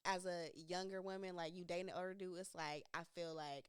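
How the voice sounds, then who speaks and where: A woman's voice: on the low side, steady tone, a little fast, clear, resonant tone, no echo, studio quality. A woman in her thirties hosting a casual podcast chat, laughing, teasing and talking in loose slang. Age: 30s. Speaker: podcast host.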